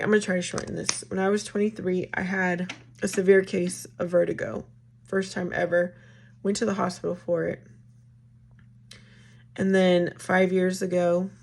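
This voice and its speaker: A woman in her thirties with a raspy voice, chatting casually to viewers at home in a rambling, friendly way. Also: lowish voice. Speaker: vlogger. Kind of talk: casual get-ready-with-me chat.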